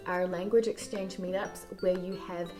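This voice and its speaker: A woman with a New Zealand accent softened by years abroad, answering viewer questions in a casual chat, speaking softly and articulating with care. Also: fairly low pitch, steady tone, faint music behind. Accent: New Zealand, softened by years in France. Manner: soft-spoken, slow and carefully articulated.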